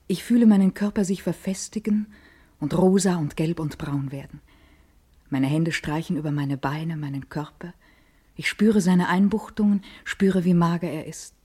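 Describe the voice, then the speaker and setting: An actress playing an eager, vain adolescent girl in a literary radio monologue, intimate and expressive. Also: lowish voice, slightly rough. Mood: restless, intimate. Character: vain, eager adolescent schoolgirl hungry for life.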